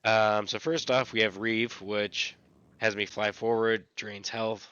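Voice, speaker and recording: A man with a scratchy, fairly low voice walks through his game build in a relaxed tutorial. His tone is bright and forceful. The recording sounds dull and lo-fi. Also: takes it slowly, smooth, dry recording. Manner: relaxed, repetitive explaining. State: recovering from illness. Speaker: gaming channel host. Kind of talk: casual gameplay tutorial.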